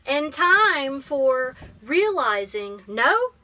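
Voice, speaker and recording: A woman's voice: high-pitched, sing-song, very slow, sharp tone, a little hiss or hum. A woman library teacher reading a picture book aloud, explaining things simply and prompting with questions.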